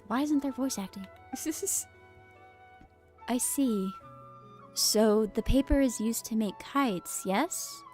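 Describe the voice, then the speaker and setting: A woman's voice: on the high side, slow pace, long pauses, darkish tone. Rambling woman streamer over music. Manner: rambling, self-interrupting, easily amused. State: sick with a cold. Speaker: game streamer. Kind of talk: casual live game stream commentary, reading dialogue aloud. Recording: game music behind the voice. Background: game music.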